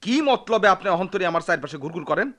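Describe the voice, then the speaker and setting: A man's voice: high-pitched, big pitch swings, fast, sharp tone, dry recording, exaggerated voice. An actor playing an impulsive, lovestruck village man in a radio drama, with a rural Bangladeshi accent and an impassioned, insistent delivery. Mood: angry. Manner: impassioned, pleading, repeats himself. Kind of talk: Bengali radio drama acting.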